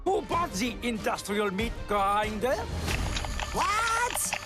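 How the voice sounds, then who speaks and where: Slow, animated cartoon character played by a woman. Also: a little high. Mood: puzzled. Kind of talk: cartoon voice acting. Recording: cartoon clip with loud music. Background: loud music.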